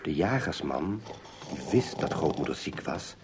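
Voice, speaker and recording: A man's voice: very deep voice, sing-song, measured pace. A man reading scripted fairy tale narration as the narrator of an audio play. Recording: clearly noisy background with clicks.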